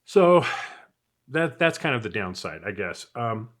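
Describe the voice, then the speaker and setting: A man's voice: medium pitch, sing-song, unhurried. A man giving a casual, unscripted product review in a chatty, conversational way, as if thinking aloud. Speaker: product reviewer, channel host.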